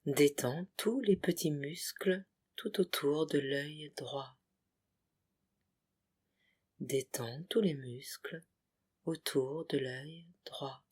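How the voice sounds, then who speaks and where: Casting: meditation guide, mid-pitched voice, breathy and hushed, soothing short phrases for deep relaxation. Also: very slow, pauses often. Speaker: yoga nidra guide.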